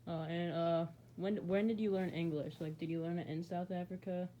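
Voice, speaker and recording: A person asking interview questions in a casual, halting way, with fillers and restarts. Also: mid-range voice, fairly even intonation, dark tone, poor audio.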